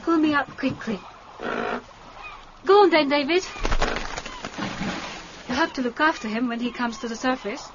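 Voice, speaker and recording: A woman's voice: high voice, moderate pace, pauses often, natural voice. An actress playing a frank, earnest young Japanese island diving woman in a radio drama, with simple, careful phrasing. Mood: brisk, instructing. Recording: dull, noisy radio drama recording.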